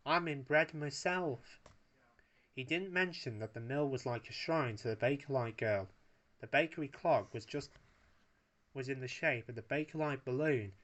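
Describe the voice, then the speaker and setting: A man's voice: mid-range voice, a bit bright. A man narrating a story as a storyteller, reading aloud from a text.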